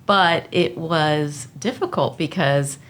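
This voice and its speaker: A woman's voice: low voice, slow pace, a little hiss or hum. A woman in her forties, a podcast guest, telling her life story in an earnest, reflective way with frequent fillers. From United States. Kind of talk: casual conversational interview.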